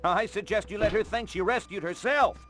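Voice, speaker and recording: An actor playing a chipper, helpful eccentric in a video game, with a chatty, matter-of-fact manner. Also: male voice, sits high, moderate pace, fairly bright tone. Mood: upbeat, helpful. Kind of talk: animated video game voice acting.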